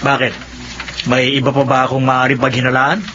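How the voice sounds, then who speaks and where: A man's voice: medium pitch, monotone, normal speed, sharp tone, close to an everyday voice. A man playing an uneasy aswang woodcutter in a Filipino horror radio drama, speaking in a colloquial way. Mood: wary, probing. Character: uneasy male aswang woodcutter, loyal drinking companion. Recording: dull lo-fi radio with noise.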